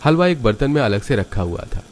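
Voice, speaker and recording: A man's voice: mid-range voice, big pitch swings, fairly quick, slight background noise. A man narrating a scripted story as its storyteller.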